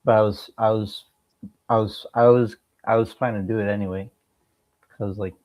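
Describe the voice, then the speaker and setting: A man answering as a guest in a casual interview, halting and often repeating words mid-sentence. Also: on the low side, little pitch movement, darkish tone, no room sound. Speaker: interview guest, amateur musician.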